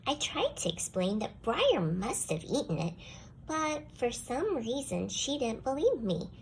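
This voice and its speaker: A woman plays a young schoolgirl narrating her own storybook tale about school grievances. Her delivery is expressive and put-upon, with very lively swings in pitch. There is some noise behind the voice. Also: measured pace, poor audio. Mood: indignant. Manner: expressive, complaining first-person storytelling. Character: jealous young schoolgirl, grudgingly softening toward the dog. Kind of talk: scripted children's storybook read-aloud.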